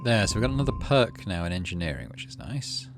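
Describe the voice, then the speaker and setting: A man's voice: on the low side, big pitch swings, quick pace, vocal fry, over music. A man giving casual let's play commentary, thinking aloud through his choices and reading out game text. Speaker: gaming video host.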